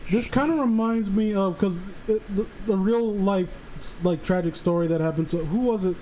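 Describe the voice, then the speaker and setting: Man, high voice, casual banter, excitable, sweary, stammering restarts, slight background noise. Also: moderate pace, rough recording. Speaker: stream co-host commenting on a read story. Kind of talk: casual banter and riffing on a story.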